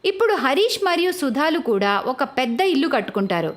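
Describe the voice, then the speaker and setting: A woman's voice: high voice, sing-song, fast. A woman reading scripted narration for a moral story as its narrator.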